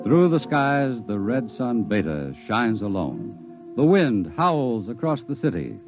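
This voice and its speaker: A male narrator of an old radio drama, measured and ominous, his pitch rising and falling expressively. The sound is dull and lo-fi. Faint music plays under him. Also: wildly swooping pitch.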